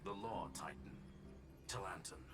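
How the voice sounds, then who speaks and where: A deep, measured analytical machine mind from a video game. The delivery is clipped and formal, with clear music behind it. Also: male voice. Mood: formal, grave. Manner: clipped, formal, announces each statement type. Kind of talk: scripted video game voice acting. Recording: music playing under the game dialogue.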